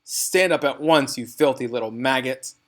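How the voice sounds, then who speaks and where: A tyrannical storybook headmistress acted out by a man, bellowing and contemptuous of children. The delivery is pompous and barking, pushed higher and louder than plain narration, with heavy emphasis on the insults. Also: moderate pace, close to an everyday voice. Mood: furious, barking.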